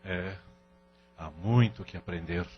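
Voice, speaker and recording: Man acting deep-voiced, humble Brazilian householder. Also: measured pace, slightly rough. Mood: humble, grateful. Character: grateful, humble man of the house, respectful newcomer. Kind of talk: audio drama acting. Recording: dull lo-fi audio with music underneath.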